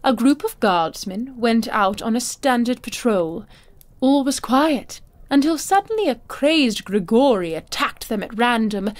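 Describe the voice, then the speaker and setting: An actress playing an imperious, cruel older madame in a fantasy audio drama, haughty, silky and condescending. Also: female voice, on the high side, animated, a little slow. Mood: threatening.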